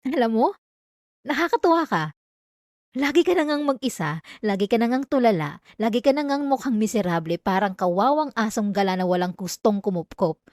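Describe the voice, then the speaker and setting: Woman acting high-voiced obsessive Filipino yandere classmate. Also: lively intonation, brisk, darkish tone, no room sound, clean studio sound, lightly acted. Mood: scornful, pitying. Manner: intimate, pleading turning coldly threatening, dramatic. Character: obsessive yandere female classmate, clingy, wounded, menacingly possessive. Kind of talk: ASMR roleplay acting, addressing the listener. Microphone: close microphone, dry sound.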